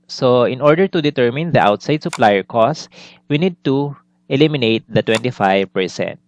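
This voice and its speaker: A man giving a recorded accounting lesson, explaining methodically step by step and restating his points. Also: medium pitch. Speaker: accounting instructor. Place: indoors, screen-recorded lesson voice-over.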